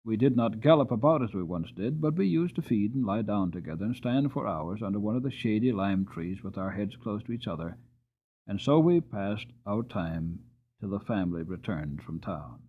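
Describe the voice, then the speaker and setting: Husky low-voiced man narrating dramatically. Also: lively intonation, normal speed. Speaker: audiobook narrator. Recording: muffled, narrow phone-like sound.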